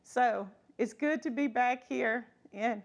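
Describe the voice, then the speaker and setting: A woman's voice: on the high side, slow pace, gravelly. A middle-aged woman giving an informal read-aloud storytime with folksy, conversational asides. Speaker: storytime reader, schoolteacher.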